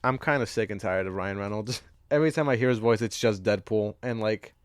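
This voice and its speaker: A man's voice: lowish voice, a little fast. A man co-hosting a podcast, chatting casually and profanely about films, often laughing as he talks. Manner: loose, profane, laughs while talking. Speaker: podcast co-host. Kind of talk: casual chat, film discussion.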